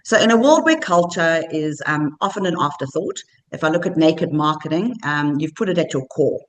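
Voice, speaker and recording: A woman's voice: deep voice, expressive, normal speed. A woman hosting a podcast interview, asking questions and encouraging her guest. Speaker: podcast host. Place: coworking space.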